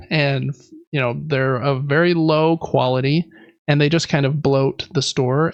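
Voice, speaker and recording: A man's voice: medium pitch, no echo, dull audio. A man co-hosting a podcast, chatting casually about video games. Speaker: podcast co-host.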